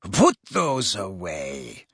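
Man voicing a cold, haughty noblewoman, low and menacing. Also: steady tone, a bit bright, dry recording, studio quality. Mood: cold threat. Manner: cold, smooth, deliberate, menacing. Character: cold, haughty aristocratic mother, quietly threatening.

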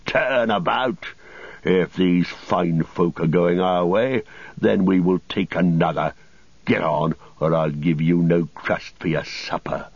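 A man voicing a sneering fallen old wizard reduced to beggary, in a cracked, husky voice with mocking archaic phrasing, as in an audiobook. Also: low-pitched, slow pace, no room sound, muffled recording, full character voice. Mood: scornful, gloating.

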